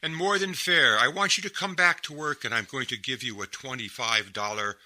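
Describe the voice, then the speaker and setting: A man's voice: medium pitch, a little fast, pushed and bright, a little hiss or hum. An actor playing a blustering but conceding male boss in a 1940s-style radio comedy. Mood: conciliatory, flustered.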